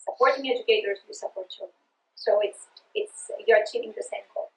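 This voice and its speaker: A woman's voice: fairly high pitch, a little fast, fairly bright tone, reverberant, poor audio. A middle-aged woman from Greece with a Greek accent, earnestly giving a professional development talk to teachers, with frequent restarts. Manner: earnest, passionate, with many restarts. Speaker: literacy presenter.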